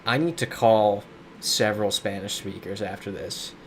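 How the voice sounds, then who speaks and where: Streamer, male, lowish voice, chatty and riffing on chat; faint air-conditioner hum behind. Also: normal speed. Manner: chatty, reads viewer comments aloud and riffs on them. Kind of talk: casual live-stream chat while playing a geography game. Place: his home in a big city.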